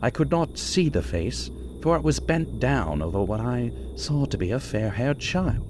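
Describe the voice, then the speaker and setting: An actor playing a haunted, skeptical doctor who recounts horrors in a measured, dramatic vintage radio style. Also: male voice, mid-range voice, big pitch swings, noisy background. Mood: fearful, dramatic.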